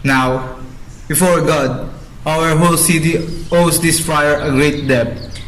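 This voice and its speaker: A man's voice: on the high side, steady tone, slow pace, a bit bright, low-quality recording. A man playing a blustering middle-aged father who orders his household about in a radio drama. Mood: pleased. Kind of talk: student radio drama acting. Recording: amateur recording with background noise.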